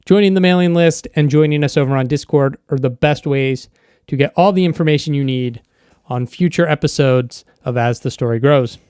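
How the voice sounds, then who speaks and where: A man's voice: on the high side, moderate pace, darkish tone, clear, resonant tone, no room sound, clean studio sound. A man hosting an interview podcast in a casual, conversational style.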